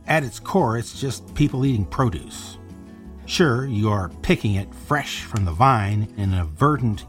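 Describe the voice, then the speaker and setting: Casting: male futurist pitchman, mid voice, lively intonation, persuasive and wry, audio drama. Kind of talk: audio drama acting, interview guest.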